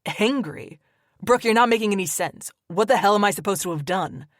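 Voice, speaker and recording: A woman's voice: mid-range voice, animated, fairly quick, no room sound, clean studio sound, natural voice. A woman voicing a young man on the phone who is puzzled and defensive, snapping back. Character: confident young man, brushing off blame.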